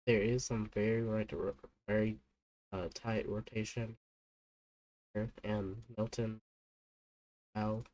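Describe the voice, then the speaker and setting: A man talks his way through live storm coverage, stopping and restarting often, his nose stuffed up from a cold. His tone is mellow and dark, held in a narrow, flat pitch. The sound is dry and close, with no room around it. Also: dry recording. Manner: halting, many fillers and restarts. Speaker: livestream weather host. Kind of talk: unscripted live weather commentary.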